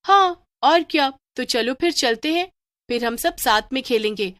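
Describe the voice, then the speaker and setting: A woman voicing a strange, playful young village girl who coaxes others, in an animated horror story. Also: high-pitched, a little slow, no room sound, clean studio sound, voice pushed up. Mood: coaxing.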